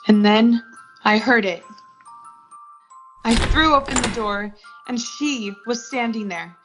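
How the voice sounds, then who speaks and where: Young woman acting an anxious, high-voiced radio heroine. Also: takes it slowly. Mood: tense recounting. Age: young adult. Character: anxious lovestruck female office worker narrating her ordeal. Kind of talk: 1940s suspense radio drama acting. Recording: dull lo-fi audio, music behind.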